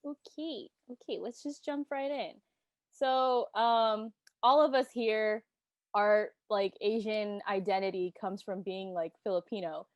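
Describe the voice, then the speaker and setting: A young woman hosting a casual student podcast, chatting in a relaxed way with lots of filler words. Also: mid-range voice, a little slow, no room sound, dull audio. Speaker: podcast host.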